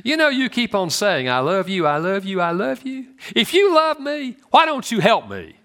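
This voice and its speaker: A man voicing his exasperated wife, pushing his voice far higher as she pleads and complains. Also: wildly swooping pitch, moderate pace, sharp tone, heavily acted. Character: exasperated wife, grown woman, fed up.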